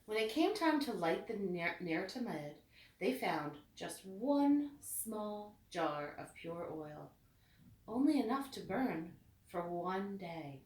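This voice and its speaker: A woman reading a storybook aloud with expressive, deliberate phrasing meant for children. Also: medium pitch. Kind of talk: read-aloud storybook narration. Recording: echoey room, distant mic.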